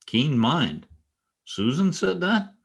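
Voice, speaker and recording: Animated, blustering newspaperman, played by a man. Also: fairly high pitch, takes it slowly, vocal fry, breathy edge, heavily acted. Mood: flattered, eager. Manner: animated, blustering, hesitant when flattered. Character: gruff, vain newspaper columnist, easily flattered. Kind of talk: old-time radio comedy acting. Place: home, online video-call production.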